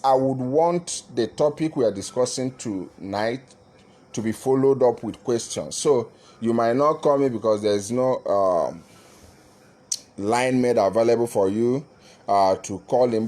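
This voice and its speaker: Man, live-stream commentator, mid-pitched, halting, earnest, lots of fillers. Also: darkish tone. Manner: halting, with frequent "uh" fillers and restarts; earnest, addresses viewers directly. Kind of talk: casual live-stream talk to viewers.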